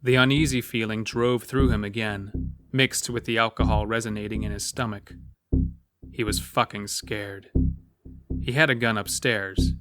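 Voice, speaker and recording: A man's voice: on the low side, no room sound. A man narrating a scripted horror story. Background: soft music bed.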